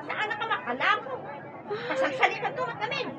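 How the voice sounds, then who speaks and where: A woman's voice: very high voice, animated, fairly quick. A woman playing a harsh, hectoring market vendor in a radio drama, scolding sharply. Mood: angry. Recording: lo-fi, loud noisy market crowd.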